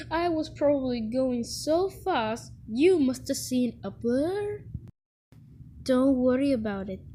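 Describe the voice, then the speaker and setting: A woman voicing a mischievous young twin tank engine, a cheeky, playful boy in an animated children's series. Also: sits high, slight background noise. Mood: boastful.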